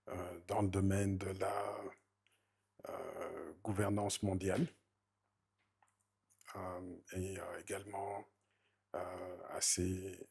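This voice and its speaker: Hesitant low-voiced man, dark tone. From Rwanda. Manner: hesitant, many fillers, formal wording. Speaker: family member introducing himself. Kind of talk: halting spoken self-introduction.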